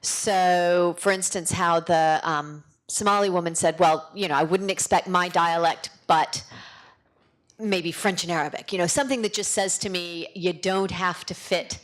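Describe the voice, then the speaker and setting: A woman's voice: lowish voice, steady tone, moderate pace. A woman in an audience asking a spontaneous, exploratory question, thinking it through aloud.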